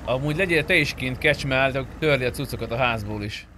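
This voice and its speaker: A man's voice: mid-range voice, bright, forceful tone, a little hiss or hum. A man chatting informally and asking questions while playing an online roleplay game. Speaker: gamer. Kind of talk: casual chat during online roleplay gameplay.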